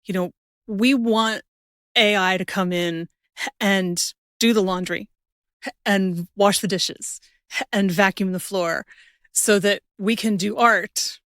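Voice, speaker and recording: A woman's voice: mid-range voice, fairly even intonation, slow pace, fairly bright tone, no room sound. Woman podcast host, dry sound. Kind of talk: casual conversational interview.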